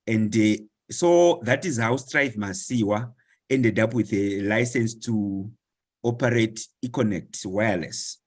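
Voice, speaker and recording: A man, slowly asking a question in an online discussion and reeling off long lists of words with many hesitations. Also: fairly low pitch. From Zimbabwe. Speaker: webinar participant.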